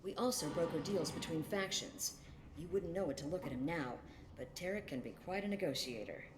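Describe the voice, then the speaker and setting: A cynical female mercenary from a video game, low in pitch. She is blunt and world-weary, and her words ring with a long, echoing reverb. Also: expressive, fairly quick. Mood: matter-of-fact. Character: hardened, cynical female mercenary lieutenant, exasperated and matter-of-fact.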